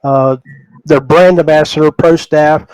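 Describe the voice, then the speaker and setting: A man hosting a casual podcast conversation, speaking in a halting way and often repeating words. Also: on the high side, steady tone, a little mellow, clear, resonant tone, dry recording, rough recording. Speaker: podcast host.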